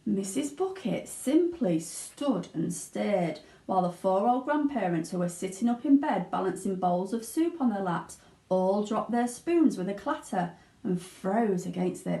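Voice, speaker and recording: A woman giving an expressive narration of a children's storybook. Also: mid-range voice. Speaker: teaching assistant reading aloud. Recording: roomy indoor echo.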